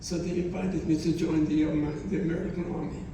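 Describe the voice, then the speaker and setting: A man in his nineties, not a native English speaker, gives a halting testimony to students and often repeats his phrases. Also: fairly high pitch, level tone, warm tone, a little hiss or hum. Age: 90s. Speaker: Holocaust survivor speaker. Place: college hall. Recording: echoey hall from far off.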